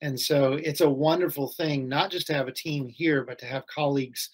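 A middle-aged school head answers as a podcast guest over a video call. His voice sits a little high, and he speaks thoughtfully, often restarting his phrases. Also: steady tone, moderate pace. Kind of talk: casual interview chat. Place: home or office, video call.

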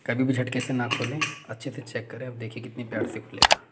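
A man films himself cooking and explains each step to his viewers in a level, steady voice. He talks in a small home kitchen, into a phone camera held close. Clear noise from the kitchen sits behind him. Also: medium pitch, fast talker. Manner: chatty, explains steps to viewers as he cooks. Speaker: vlogger. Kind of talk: casual cooking vlog.

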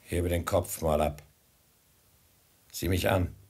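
A calm prince in an old radio play. His voice is deep, and he speaks in a measured, authoritative way as master of the house. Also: creaky, breathy edge, heavily acted. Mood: stern questioning. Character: calm, commanding male Persian prince and master. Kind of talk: 1950s German radio drama acting.

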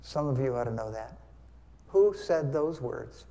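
A man's voice: medium pitch, lively intonation, unhurried, mellow. Older man teaching in a reverberant sanctuary. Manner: conversational, teacherly, asks the audience questions. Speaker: church speaker, veteran. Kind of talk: Veterans Day sermon talk with questions to the congregation. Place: large church sanctuary, standing before pulpit. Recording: long hall reverb.

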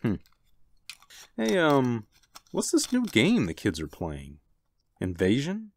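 A man's voice: medium pitch, huge pitch swings, slow pace, a little hiss or hum, full character voice. An actor playing an easygoing, affectionate husband and father in a radio drama. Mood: concerned.

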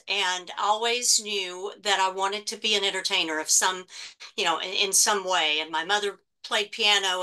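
A woman's voice: medium pitch, clear, resonant tone, low-quality recording. A middle-aged woman with a Texan accent, chatting and telling stories as a guest on a video call. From Texas, USA. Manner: chatty, animated, laughs easily. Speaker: guest actress. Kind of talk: casual interview storytelling.

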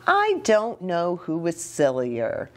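A middle-aged woman reading a picture book aloud to young children, animated, with chatty asides and questions for her listeners. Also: on the low side, takes it slowly. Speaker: children's ministry storytime host. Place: small indoor reading nook with bookshelf and curtains.

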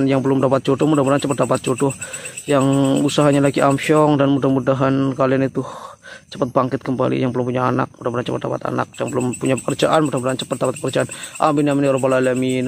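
A man's voice: medium pitch, gravelly. An Indonesian man with a cold, speaking as a night-time vlogger and reciting prayers outdoors. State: has a cold and flu, feeling weak. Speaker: ghost-hunting vlogger, live streamer. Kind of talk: casual vlog talk with murmured prayers. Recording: outdoor night insect noise. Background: crickets and insects.

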